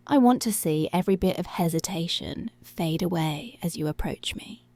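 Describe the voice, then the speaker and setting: A woman playing a dominant, seductive policewoman in an intimate ASMR roleplay, breathy and deliberate, often dropping to a whisper. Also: fairly low pitch, warm tone, no room sound. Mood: commanding, seductive. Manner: slow, deliberate, commanding.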